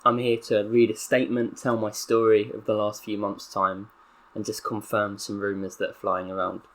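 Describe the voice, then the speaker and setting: A man's voice: on the low side, moderate pace. Young Southern English man, mock-serious. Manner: mock-solemn with bursts of excitable commentary. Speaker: gaming YouTuber. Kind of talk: role-playing a footballer's press statement.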